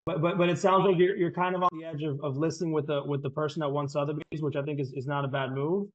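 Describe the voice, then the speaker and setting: A confident man pitching on a sales call, talking quickly and restarting his sentences as he presses his point. His voice sits a bit high, over muffled, lo-fi audio. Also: steady tone. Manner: persuasive, confident, repeats words as he starts sentences. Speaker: real estate agent and sales coach.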